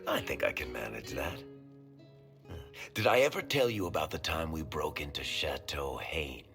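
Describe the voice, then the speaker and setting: A man's voice: a bass voice, lively intonation, moderate pace, vocal fry, music in the background, heavily acted. A man playing a wry, world-weary dwarf storyteller who talks in a colloquial, teasing way in a video game. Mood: wry, amused. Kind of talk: video game cutscene acting.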